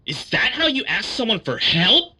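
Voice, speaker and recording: A man's voice: high-pitched, sing-song, moderate pace, bright, forceful tone, breathy edge, full character voice. A man playing a curt, unwelcoming reclusive detective in an anime dub, dismissive in manner.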